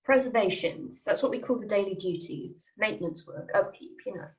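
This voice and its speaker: A woman's voice: mid-range voice, lively intonation, moderate pace, a little mellow, close to an everyday voice, rough recording. Matter-of-fact woman welcoming newcomers to heaven, read by an actress. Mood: reassuring. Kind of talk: script table read.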